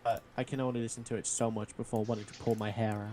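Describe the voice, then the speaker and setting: A man's voice: lowish voice, little pitch movement, mellow, slight background noise. A man joining a casual gaming livestream as a guest player, ribbing the others sarcastically. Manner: quick-talking, sarcastic ribbing.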